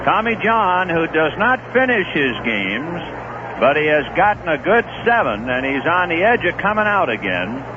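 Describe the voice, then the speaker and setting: A man's voice: a little high, moderate pace. A man doing live play-by-play baseball commentary on the radio, his voice climbing with the big plays. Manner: lively, rising with big plays. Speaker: play-by-play announcer. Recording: tinny, noisy 1970s radio broadcast.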